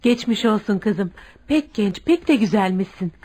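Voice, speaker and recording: A woman's voice: mid-range voice, animated, normal speed, warm tone, lightly acted. An actress playing a kindly, blunt and inquisitive elderly mother in a radio drama, folksy in manner. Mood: affectionate. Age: older.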